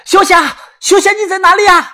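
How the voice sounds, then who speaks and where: A man's voice: very high voice, steady tone, moderate pace, pushed and bright, voice pushed up. A man voicing a distraught young man in an audiobook, wailing and pleading in anguish. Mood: frantic.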